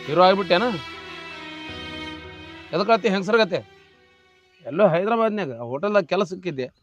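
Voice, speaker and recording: ಉತ್ತರ ಕರ್ನಾಟಕದ ಉಚ್ಚಾರಣೆಯ ಒಬ್ಬ ಗಂಡಸು, ಮೋಸಗಾರ ಸಿನಿಮಾ ನಿರ್ದೇಶಕನ ಪಾತ್ರದಲ್ಲಿ ತಿರಸ್ಕಾರದಿಂದ ಗದರಿಸುತ್ತಾನೆ. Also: male voice, high voice. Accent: North Karnataka Kannada. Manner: scornful, mocking, bullying. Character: brash swindling fake film director man. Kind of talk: short film drama. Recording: dry, slightly dull, faint music.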